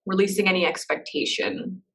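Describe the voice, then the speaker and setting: A woman leading a guided meditation in a soft, slow, reassuring way, with gentle pauses between phrases. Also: mid-range voice, steady tone, far from the mic, poor audio.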